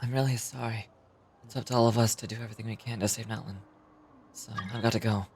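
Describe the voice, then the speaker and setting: An actor playing a polite, apologetic young man in a video game, speaking in a measured way. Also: lowish voice, steady tone, a little mellow, slight background noise, lightly acted. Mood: regretful.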